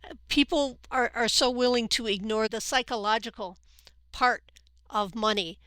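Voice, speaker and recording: A woman's voice: on the high side, normal speed, a bit bright, no echo. A woman hosting a podcast interview, talking with enthusiasm and often repeating words as she thinks. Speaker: podcast host. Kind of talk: conversational interview.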